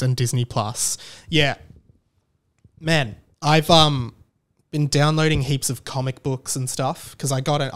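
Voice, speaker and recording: Higher-pitched Australian man, rambling podcast host. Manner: rambling, informal. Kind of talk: casual solo podcast chat.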